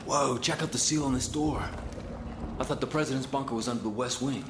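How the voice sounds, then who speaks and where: A young male soldier voiced by an actor chats casually in a mid-pitched voice. It is video game military banter, nearly buried in loud ambient noise. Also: a little fast, natural voice. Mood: awed, casual. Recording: very noisy battlefield ambience.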